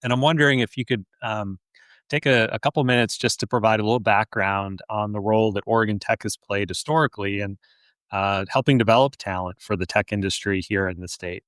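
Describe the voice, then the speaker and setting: An American man hosting a podcast interview in a conversational way, often halting mid-question. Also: lowish voice, fairly even intonation, a bit bright, no echo. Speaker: podcast host, chief executive.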